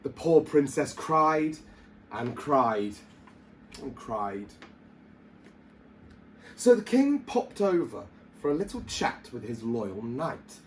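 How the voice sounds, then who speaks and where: A man's voice: mid-range voice, big pitch swings, slow, long pauses, slight background noise. A man reading a children's story aloud like a teacher at storytime, theatrical and emphatic.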